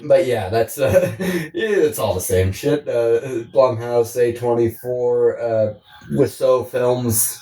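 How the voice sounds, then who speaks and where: Man, podcast co-host, medium pitch, joking casual chat, sweary banter, roomy echo, distant from the mic. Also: takes it slowly, mellow.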